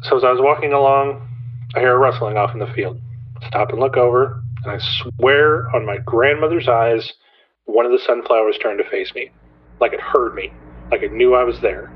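A man's voice: medium pitch, moderate pace. Over a thin, faintly noisy phone line comes an actor as a man calling late-night radio. He is earnest and rattled, spinning an eerie account in a folksy, insistent way. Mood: uneasy awe. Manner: folksy, insistent, asks rhetorical questions. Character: rattled, earnest male radio caller telling of menacing sunflowers. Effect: phone-call filter. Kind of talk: dramatic eerie storytelling on a radio call-in.

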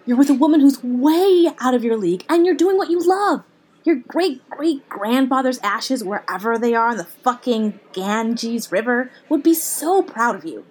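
An actress playing a brash, blunt comedian friend in a naturalistic audio drama, sarcastic, punchy and profane. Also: female voice, fairly high pitch, expressive, normal speed, darkish tone, breathy edge. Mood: forceful, rallying.

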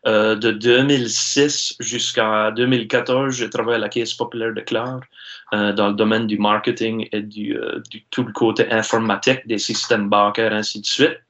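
A man in his forties with an Acadian French accent, answering interview questions earnestly, with many filler pauses. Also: fairly low pitch, flat delivery, a bit bright. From Baie-Sainte-Marie, Nova Scotia. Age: 40s. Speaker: guest, university sports director. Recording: dull lo-fi sound.

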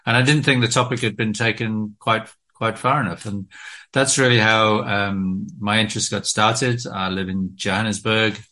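Middle-aged British man, low-ish voice, forceful and bright, halting with lots of ums. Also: steady tone. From Britain. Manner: hesitant, many ums and restarts. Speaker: guest author. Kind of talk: casual interview talk.

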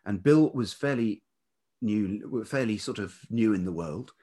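Interview guest: middle-aged male, low-pitched and velvety with some rasp, reflective and halting in delivery. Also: animated, dark tone. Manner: hesitant, piecing thoughts together. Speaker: podcast guest, writer and producer. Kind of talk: reflective anecdotal interview talk.

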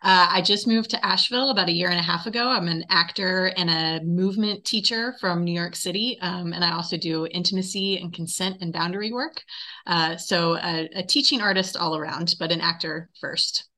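A woman's voice: lowish voice, fairly quick, bright, forceful tone. An American woman in her forties from North Carolina, answering questions as an interview guest in a conversational way. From North Carolina, United States. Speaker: interview guest, actor and movement teacher.

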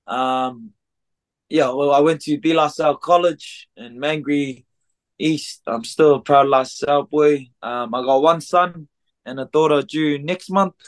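A man in his twenties with a New Zealand accent, a podcast guest talking casually and haltingly with many ums, recorded in a music studio. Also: on the high side. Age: 20s. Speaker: guest, gym co-founder. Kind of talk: casual interview chat.

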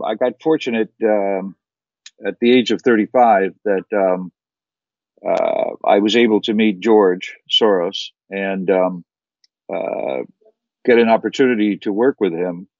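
A middle-aged man with a General American accent speaks in a lowish voice, pausing often to restart his thoughts. The recording is dry and slightly dull, with a lo-fi edge. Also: a little mellow, no room sound. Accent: General American, Chicago-like. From born in Kuwait, raised mostly in the United States. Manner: measured, many fillers and restarts. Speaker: investment firm founder, guest. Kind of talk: interview answers.

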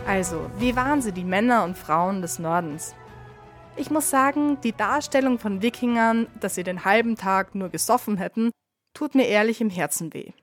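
A woman's voice: medium pitch, normal speed, faint music behind. A woman hosting her own podcast, speaking with a cold, telling history in a playful, wry scripted narration with ironic asides. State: has a cold. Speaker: podcast host, bartender.

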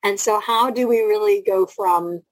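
A woman co-hosting a podcast in a conversational, reflective way with frequent asides and a slightly husky voice. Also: medium pitch, moderate pace, no echo, poor audio. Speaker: podcast co-host.

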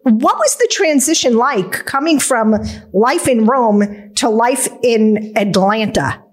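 A woman hosts an interview show, first reading a formal introduction and then trading quick reactions with her guest. Her voice sits a little high and moves around a lot in pitch. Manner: lively, reads intro then chats with short reactions. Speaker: podcast host.

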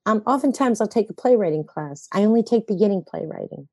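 A woman's voice: mid-range voice, lively intonation, fast talker, darkish tone, dry recording, studio quality. An older woman playwright talking in an interview, reflective and rambling, correcting herself mid-sentence with a wry sense of humor. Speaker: playwright guest. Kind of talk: conversational interview.

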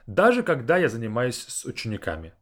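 A man speaking in a reflective, conversational way, as a teacher sharing his views. His mid-pitched voice moves a lot, climbing and dipping with emphasis, and he takes his time. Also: wildly swooping pitch, a little fast, a bit bright. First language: Russian. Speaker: Russian teacher and podcast host. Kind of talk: casual reflective monologue.

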